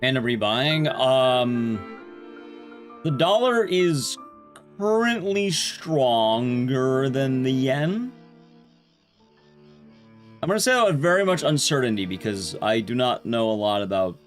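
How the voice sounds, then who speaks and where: A man talks casually to his livestream audience in a mid-range voice. His delivery is lively and chatty, with big swings in pitch. Soft music plays behind him. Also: takes it slowly, pauses often. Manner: chatty, addresses viewers, reads game text aloud. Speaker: livestreamer and speedrunner. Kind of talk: casual livestream chat while playing a game.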